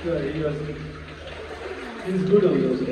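High male guide, terse and offhand, heard from afar with a long echo and hiss. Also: takes it slowly. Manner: short, offhand remarks, guiding others along. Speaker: explorer guiding the group. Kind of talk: casual exploring chat. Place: underground tunnel with water. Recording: echoey tunnel, distant mic, hiss.

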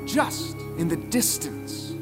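A man's voice: on the high side, big pitch swings, slow, over loud music. A man narrating an audio play as a dramatic storyteller.

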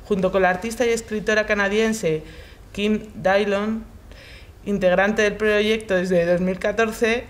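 A middle-aged woman reading an essay aloud with careful pauses and hesitations, in a large hall. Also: mid-range voice, fairly even intonation. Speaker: reader in a shared reading group. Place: large hall, seated on the floor.

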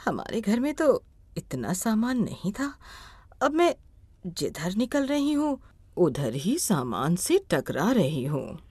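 A woman's voice: mid-range voice, sing-song, takes it slowly, a little mellow, slightly rough, no room sound, exaggerated voice. A woman playing an anxious elderly mother. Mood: puzzled. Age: older. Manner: fretful, questioning. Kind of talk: Hindi animated moral story acting.

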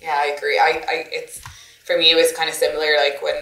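A woman speaks earnestly, her voice low. She sits a step back from the mic. The room echoes around her. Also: level tone, quick pace, creaky, fairly bright tone, soft background music. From Belfast. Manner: earnest, many "like" fillers. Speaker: interviewee. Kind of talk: casual discussion. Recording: roomy, a bit distant.

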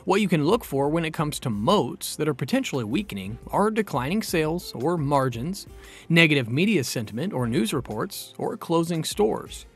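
A man reading a scripted explainer narration in a smooth, read-aloud delivery. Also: fairly high pitch, sing-song, normal speed, soft background music.

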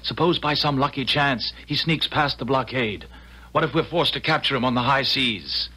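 A man's voice: medium pitch, normal speed, pushed and bright, slightly rough, low-quality recording. A man playing a tense, skeptical military officer in a 1970s radio drama. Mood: worried.

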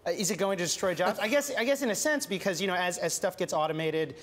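A man's voice: sits high, fast, fairly bright tone, slight background noise. Chatty young man reporter in studio. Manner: chatty, many restarts and fillers. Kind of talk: studio news interview. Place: TV news studio.

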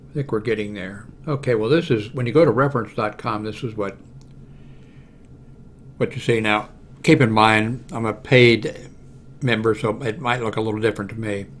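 An American man in his seventies giving a casual, unscripted computer walkthrough, haltingly thinking aloud. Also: lowish voice, steady tone, slight background noise. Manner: halting, thinking aloud while clicking through pages. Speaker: video blogger giving a how-to demonstration. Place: small room at home, indoors. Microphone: large desktop microphone close to the mouth.

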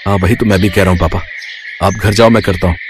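Young farmer son played by a man, cartoon moral story: dutiful, weary, low and soft-spoken, mildly complaining. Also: fairly even intonation, fast, a little hiss or hum, lightly acted. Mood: protesting.

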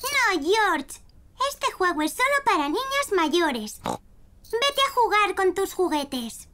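Very high chirpy little girl pig. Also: very animated, takes it slowly, sharp tone, slight background noise, heavily acted. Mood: bossy. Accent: Castilian Spanish. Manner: childlike, chirpy. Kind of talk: children's cartoon dub. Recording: background music behind the voice.

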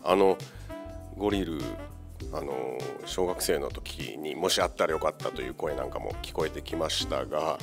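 Male interviewer, extremely low pitch, fry in the voice, polite, slightly halting delivery. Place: meeting room indoors. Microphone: handheld microphone. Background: background music.

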